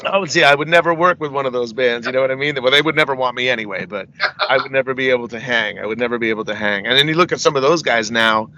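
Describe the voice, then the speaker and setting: Man, middle-aged, metal singer, rambling anecdotes, bright voice, muffled lo-fi audio. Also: medium pitch, fast talker. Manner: rambling, says "you know what I mean" often, laughs between stories. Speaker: interview guest, metal singer. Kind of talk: casual storytelling interview.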